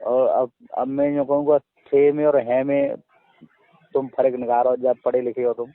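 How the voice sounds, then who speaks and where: A man's voice: medium pitch, flat delivery, normal speed, no room sound. A man with a western Uttar Pradesh accent in a phone argument, defending himself assertively and repeating his points. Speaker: religious storyteller. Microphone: phone line. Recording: tinny phone call recording.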